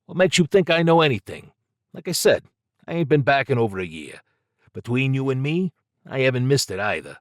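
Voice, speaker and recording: A man's voice: mid-range voice, sing-song, fairly quick, no echo, studio quality, pitched above the performer's own voice, natural voice. A man voicing a nervous, evasive small-time crook with slangy, flippant bravado in an audiobook. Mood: evasive, defensive.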